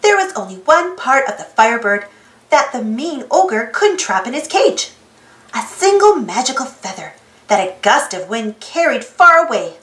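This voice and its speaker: A woman reading a storybook aloud to children in an expressive narrating style, inviting young listeners in. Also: fairly high pitch, echoey room, low-quality recording.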